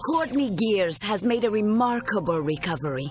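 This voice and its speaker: A smug, polished news anchorwoman in a sci-fi game, acted by a woman. Her glib broadcast delivery is very animated, at a medium pitch, over clear music. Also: moderate pace, close to an everyday voice. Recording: music bed behind the voice.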